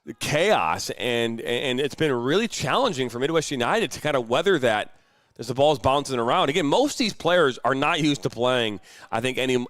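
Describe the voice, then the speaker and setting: A man's voice: mid-range voice, fairly bright tone, no room sound. A man doing live play-by-play commentary on a youth soccer match, chatty and joking between calls. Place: outdoor soccer field broadcast.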